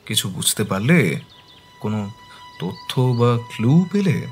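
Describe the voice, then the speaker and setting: A man's voice: mid-range voice, big pitch swings, takes it slowly, faint music behind. A man narrating a dramatised detective audio story as the detective's attentive companion. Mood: inquisitive. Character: attentive male companion of a detective, telling the case.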